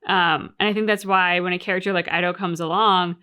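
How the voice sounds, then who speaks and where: A woman's voice: on the low side, steady tone, fairly quick, pushed and bright, smooth. A woman hosting a reaction channel, talking casually and reflectively as she discusses a game's story in an earnest way. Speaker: host of a game reaction channel.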